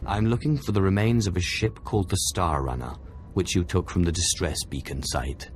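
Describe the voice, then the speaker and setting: A man as a very deep, menacing Sith lord. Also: normal speed, a little hiss or hum, close to an everyday voice. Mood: cold menace. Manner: cold, haughty, threatening. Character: menacing, imperious Sith lord, cold and commanding. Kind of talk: video game voice acting.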